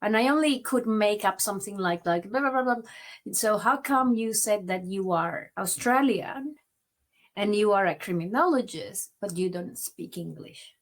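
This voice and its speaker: A woman's voice: mid-range voice, animated, normal speed. A woman from Venezuela with a Venezuelan Spanish accent, a native Spanish speaker, telling her personal story as an interview guest in a reflective way. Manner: reflective, many "you know" fillers.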